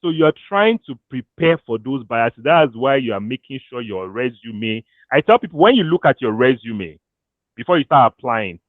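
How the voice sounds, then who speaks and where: A Nigerian man talks career strategy in an animated, loose way, dropping pidgin phrases now and then. His voice sits at an ordinary pitch. The sound is thin and phone-like, with no room echo. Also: normal speed. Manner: animated, switches into pidgin, laughs often. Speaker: career coach. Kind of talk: casual talk to an audience. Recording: thin phone-like dry audio.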